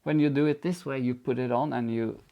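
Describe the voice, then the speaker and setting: Guy, gravelly voice, chatty and eager, laughs a lot, mid pitch. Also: steady tone, fairly quick, muffled recording. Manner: enthusiastic, laughs easily. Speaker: knitting show co-host. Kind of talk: casual chat and knitting explanation.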